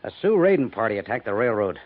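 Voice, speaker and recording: Senior cavalry major, concerned authority, rough husky tone, lively pitch, thin phone-like 1950s radio sound. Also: male voice, quick pace. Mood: urgent. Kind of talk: 1950s radio drama acting.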